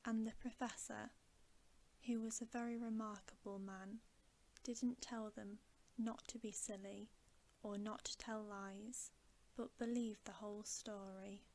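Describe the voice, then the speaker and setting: A woman reads a bedtime story in a soft, hushed voice that often slips into whispering. Her delivery is slow and very even, with little rise or fall. It is a soothing ASMR narration. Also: mid-range voice. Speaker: ASMR storyteller, channel host.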